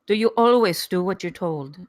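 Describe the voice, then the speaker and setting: A woman's voice: fairly low pitch, expressive, moderate pace, no echo, lightly acted. Woman playing a proud noblewoman, dry sound. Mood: defiant. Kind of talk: live table read of a screenplay.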